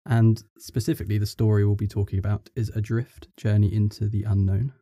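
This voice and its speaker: Brisk, even, very mellow male podcaster. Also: lowish voice, no room sound, studio quality. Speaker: podcast co-host. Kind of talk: casual podcast chat.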